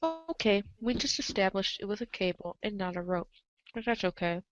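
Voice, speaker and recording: A woman's voice: mid-range voice, lively intonation, moderate pace. A woman reading scripted narration of a story in a readers' theater show. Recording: dull lo-fi microphone sound.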